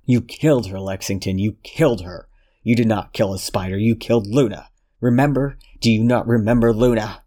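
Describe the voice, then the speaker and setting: A man voicing a cold, controlled detective who speaks in a measured, menacing way. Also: lowish voice, mellow, natural voice.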